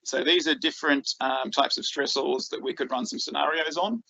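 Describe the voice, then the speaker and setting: A man's voice: fairly high pitch, steady tone, brisk, creaky, pushed and bright, no echo, lo-fi sound. A man with an Australian accent giving a conference talk as a researcher, enthusiastic and full of ums and repeated words. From Australia, rural northeast Victoria.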